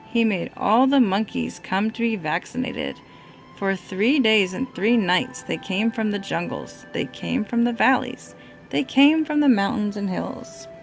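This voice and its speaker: Woman reading a storybook, slightly creaky voice. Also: medium pitch. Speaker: parent reading a storybook aloud to a toddler. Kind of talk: storybook read-aloud with asides to a child.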